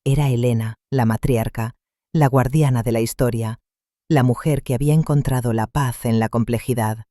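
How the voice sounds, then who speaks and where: A man narrating a first-person story in a calm, measured, reflective way. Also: medium pitch, no room sound, studio quality.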